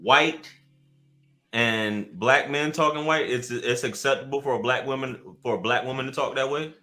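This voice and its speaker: An American man hosting a casual live talk show, speaking in an animated, slangy way with punchy rhetorical questions. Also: medium pitch, normal speed.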